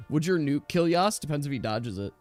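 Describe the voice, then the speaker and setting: A man with a fairly high voice acts as game master for a tabletop game. He explains rules in a chatty, off-the-cuff way, pausing and restarting as he goes. Faint music plays behind him. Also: expressive, darkish tone.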